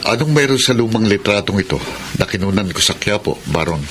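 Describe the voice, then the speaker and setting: An actor with a Filipino accent playing a brooding young prisoner in a radio drama, speaking earnestly and with emotion. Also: male voice, fairly low pitch, lively intonation, a little fast, loud music behind the voice, natural voice. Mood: bitter, resigned. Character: Edison, brooding young male prisoner and gifted photographer. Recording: noisy radio drama broadcast.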